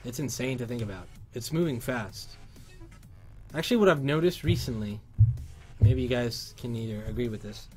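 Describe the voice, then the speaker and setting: A man in his thirties from Cuba, hosting a casual livestream and reading chat questions aloud through a headset. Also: mid-range voice. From Cuban. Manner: upbeat, reads chat aloud, sings along. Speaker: livestream host. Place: workshop studio indoors.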